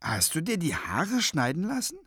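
A man's voice: fairly high pitch, wildly swooping pitch, normal speed, bright, forceful tone, slightly rough, no echo, clean studio sound, pitched above the performer's own voice, exaggerated voice. A man plays an eccentric, easily delighted woman in a storybook. Her voice is lifted and lilting, with an airy, scatterbrained way of stating things bluntly and innocently. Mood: airy, musing.

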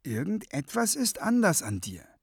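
A man's voice: high voice, huge pitch swings, normal speed, vocal fry, breathy edge, no room sound, clean studio sound, voice pushed up, full character voice. A man plays an eccentric, easily delighted woman in a storybook. Her voice is lifted and lilting, with an airy, scatterbrained way of stating things bluntly and innocently. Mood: airy, musing.

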